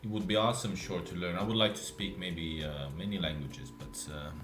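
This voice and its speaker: A man with a Russian accent, live streaming and chatting casually with viewers, speaking in a deliberately lazy, relaxed way. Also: low voice, echoey room, over music. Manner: intentionally lazy, relaxed way of talking.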